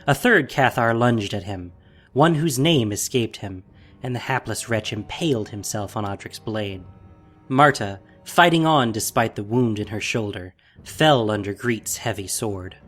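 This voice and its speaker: A man narrating a scripted fantasy story in a dramatic, solemn way. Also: on the low side.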